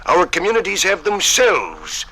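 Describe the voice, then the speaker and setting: Slow, oratorical old-time judge. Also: male voice, high voice, wildly swooping pitch, vocal fry, sharp tone, heavily acted. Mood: proud, formal. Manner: solemn, oratorical, deliberate. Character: proud, formal nineteenth-century judge making a proclamation. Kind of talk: 1950s radio dramatization. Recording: vintage radio recording with faint noise.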